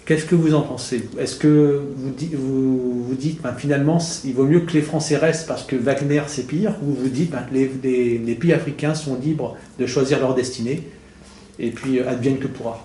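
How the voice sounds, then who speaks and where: A man's voice: mid-range voice, fairly even intonation, moderate pace, warm tone, roomy sound, slight background noise. A man interviewing a guest, asking long questions hesitantly with many fillers.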